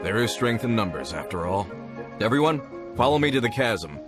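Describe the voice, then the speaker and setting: An earnest older mine foreman in a video game. His speech is plain but animated, rising and falling freely, with music clearly audible behind it. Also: on the low side, a little fast. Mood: matter-of-fact. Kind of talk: video game character acting.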